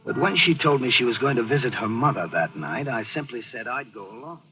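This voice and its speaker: A man's voice: medium pitch, lively intonation, fairly quick, lightly acted, low-quality recording. An American actor playing a bitter, jealous husband narrating his story in a controlled, clipped way in a 1940s radio mystery. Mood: brooding. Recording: old radio broadcast with loud music.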